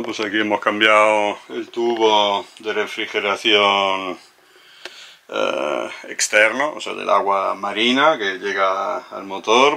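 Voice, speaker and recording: A man's voice: fairly low pitch, pushed and bright. A man vlogging aboard his sailboat, explaining repairs in a chatty, self-deprecating way, a non-native speaker whose first language is Italian. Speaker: sailing vlogger. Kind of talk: casual explaining while working.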